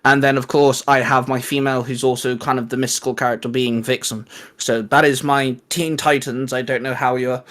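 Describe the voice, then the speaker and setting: A man's voice: mid-range voice, level tone, brisk, a bit bright. A man chatting casually as co-host of a geeky fan show, explaining things informally and at length. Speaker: co-host of a geek-culture discussion show. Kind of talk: casual fan chat about comics.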